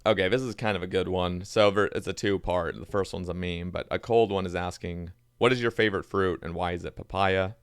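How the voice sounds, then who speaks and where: Deep gravelly man hosting a podcast. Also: fairly even intonation, brisk, few pauses, no echo, clean studio sound. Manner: easygoing, reads listener questions. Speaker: podcast host. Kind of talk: casual podcast chat.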